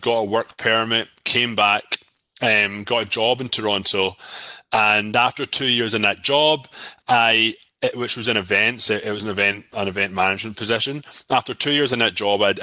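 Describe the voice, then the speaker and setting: A man's voice: on the low side, fairly even intonation, normal speed, bright, forceful tone, dry recording. A man from Scotland in his thirties, with a Scottish accent, chatting casually as a podcast guest, often repeating words and laughing easily. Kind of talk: casual interview chat.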